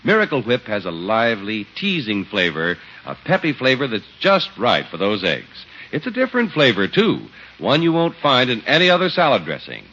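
A man's voice: mid-range voice, huge pitch swings, normal speed, pushed and bright, breathy edge. A man announcing a scripted 1950s radio show and its sponsor's message. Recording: dull 1950s radio recording. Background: music.

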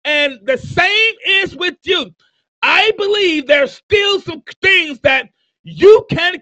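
A man in his fifties giving an emphatic motivational sermon, repeating phrases and building to shouts. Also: extremely high pitch, dry recording. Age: 50s. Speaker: motivational preacher. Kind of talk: sermon-style motivational speaking.

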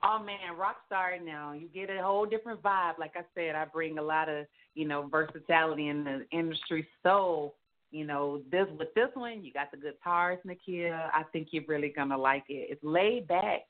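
Lower-voiced woman singer on a phone line. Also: normal speed. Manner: upbeat, talks freely with fillers and repeats. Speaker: musician guest. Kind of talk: casual radio interview.

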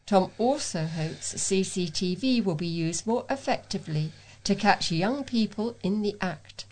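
A woman's voice: mid-range voice, lively intonation, a little slow, darkish tone, poor audio. A woman reading scripted local news items as a volunteer newsreader.

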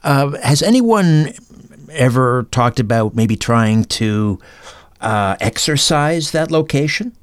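Male interview host, mid-pitched, casual and halting in his questions, dry close recording. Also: lively intonation, dry recording. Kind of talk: conversational interviewing.

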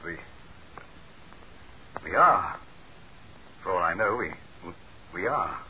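An actor playing an arrogant, imperious dictator who is trapped and cracking, in the theatrical style of 1940s radio horror. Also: male voice, low-pitched, expressive, takes it slowly. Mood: rattled. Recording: muffled, noisy old 1940s radio recording.

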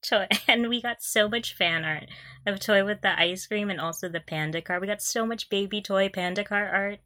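Giggly young woman, bright close dry voice. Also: mid-range voice, moderate pace, no echo. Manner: giggly, playful, gushing. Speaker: podcast co-host. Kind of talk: casual fan discussion of audio dramas.

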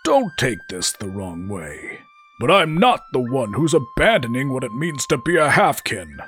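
A man's voice: medium pitch, sing-song, normal speed, fairly bright tone, faint music behind, voice pushed up. A man voicing a brash, greedy young halfkin brother who talks in a blunt, scolding way. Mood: defiant. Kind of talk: audiobook character voice.

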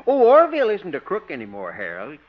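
An actor playing an easygoing, simple small-town marshal in 1950s radio comedy. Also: male voice, fairly high pitch, huge pitch swings, brisk. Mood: amiable, matter-of-fact. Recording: muffled old radio recording.

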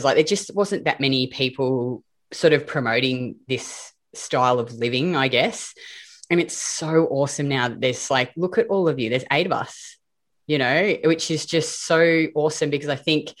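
A woman's voice: rumbling low, fairly bright tone. A woman with an Australian accent hosting a casual group podcast chat, chatty and swearing casually. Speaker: podcast host.

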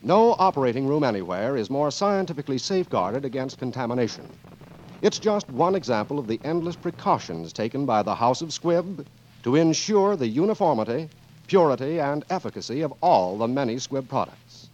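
A man's voice: medium pitch, very animated, fairly quick, a little mellow, a little hiss or hum. An American man reading scripted announcements in a formal, emphatic 1940s radio style. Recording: dull old radio recording with music.

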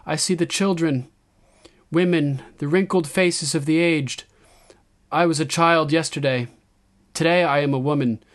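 A man voicing a commanding young queen, raised pitch. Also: steady tone, unhurried, long pauses, lightly acted. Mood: resolute, proclaiming. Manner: solemn, declamatory. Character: young woman queen, resolute, regal, commanding. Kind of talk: audiobook character acting.

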